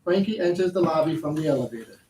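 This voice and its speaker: A man's voice: a little high, animated, quick pace, a little mellow. A man reading stage directions aloud at a staged play reading. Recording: echoey, distant, very noisy pickup.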